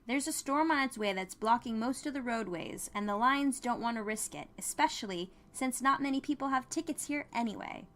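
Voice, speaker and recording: A woman's voice: on the high side, fairly quick, hardly any pauses, a bit bright, pitched above the performer's own voice. A woman voicing a friendly, apologetic male clerk in a small town, casual and matter-of-fact, for an audio story. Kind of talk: dramatized audiobook character dialogue.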